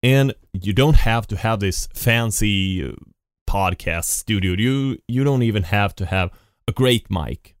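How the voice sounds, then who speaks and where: Slow, low-voiced man rambling on his podcast. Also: clear, resonant tone, no room sound, clean studio sound. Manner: rambling, many restarts and repeated "and". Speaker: podcast host. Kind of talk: unscripted solo podcast monologue. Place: home setup at a computer. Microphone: studio podcast mic with effects.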